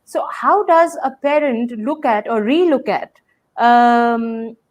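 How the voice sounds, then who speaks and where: A woman's voice: on the high side, takes it slowly. A woman who hosts a webinar on drama and talks hesitantly, stopping to search for words as she asks long questions. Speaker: host and drama educator. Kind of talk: webinar conversation.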